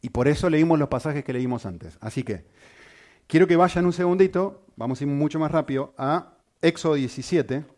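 A man preaching a sermon, asking the congregation rhetorical questions and speaking with lively emphasis. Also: on the high side, moderate pace.

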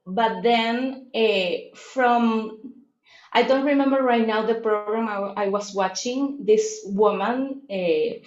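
A woman's voice: fairly high pitch, dull audio. Latin American woman in an echoey room. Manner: reflective, with many fillers and restarts. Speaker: guest. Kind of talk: casual interview talk.

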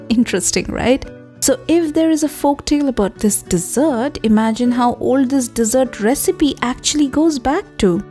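A woman with an Indian accent and a breathy voice, hosting a storytelling podcast and speaking directly to her listeners. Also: on the high side, dark tone. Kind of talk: podcast narration, addressing listeners.

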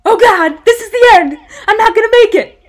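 A woman playing a manic, dismissive, gleefully unhinged tour guide in a comedic audio drama, theatrical and mock-condescending. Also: extremely high pitch. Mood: mock terror.

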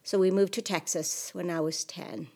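Low-voiced woman, podcast guest. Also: steady tone, moderate pace, a little mellow. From Mexico. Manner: hesitant, many fillers. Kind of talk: casual interview conversation.

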